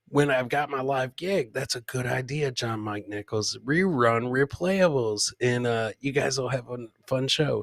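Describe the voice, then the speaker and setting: A man hosts a live comedy stream in an informal, rambling way. His medium-pitched voice is recorded close and dry, as he chats casually with the people watching. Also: fairly bright tone, dry recording. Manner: informal, rambling, addresses viewers as guys and man. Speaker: live comedy podcast host. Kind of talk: casual live-stream chat, riffing on clips and reading viewer comments.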